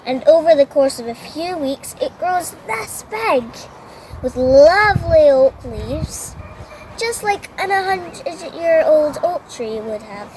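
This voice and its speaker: Female nature educator for a children's demonstration, high voice, measured pace, faint background ambience. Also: lively intonation, a little mellow, slight background noise, poor audio. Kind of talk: simple explanatory demonstration for children.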